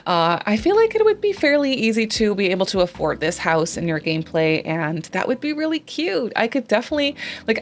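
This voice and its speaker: A young woman whose first language is Dutch, chatting casually over a voice-over with lots of fillers and self-corrections. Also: lowish voice. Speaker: Sims YouTuber.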